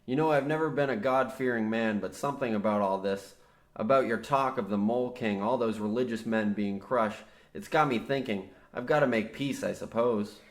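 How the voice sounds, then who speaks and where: Radio play parody: man acting younger trapped coal miner, earnest and burdened by guilt, melodramatic old-radio delivery, medium pitch. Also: moderate pace, lightly acted. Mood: confessional, resigned. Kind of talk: old-time radio horror comedy acting.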